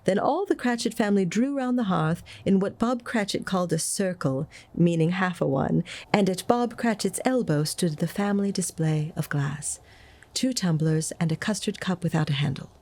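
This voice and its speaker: A woman reading a classic story aloud as an audiobook, with an expressive, dramatic delivery. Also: fairly low pitch, normal speed. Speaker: audiobook reader. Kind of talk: expressive scripted storybook narration.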